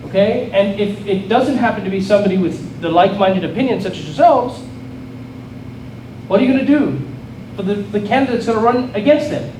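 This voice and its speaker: South African man, middle-aged, high-pitched, earnest political speech, distant and echoey, noisy hall. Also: lively intonation, fairly quick, a little mellow. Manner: earnest, rallying, repeats and restarts phrases. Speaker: state legislator giving a speech. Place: event hall with an audience.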